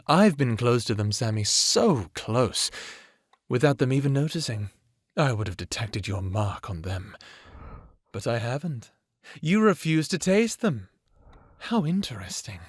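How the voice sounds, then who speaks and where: A man's voice: mid-range voice, very animated, slow, husky, no echo, studio quality, heavily acted. A man playing a cruel, manipulative vampire ex in an audio drama, savoring his words with a mocking, taunting drawl. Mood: mocking, probing. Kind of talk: dramatic audio roleplay acting.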